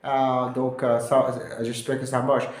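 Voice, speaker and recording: A man from Mauritius, a lawyer explaining to camera in a halting way, full of fillers and English terms. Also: medium pitch, monotone, reverberant. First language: Mauritian Creole. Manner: hesitant, many fillers, slips into English terms. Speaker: immigration lawyer.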